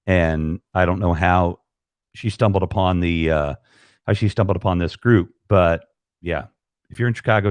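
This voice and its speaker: A man's voice: mid-range voice, no room sound. Male host, casual stream chat, rambling, dry close sound. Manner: rambling, many restarts and fillers.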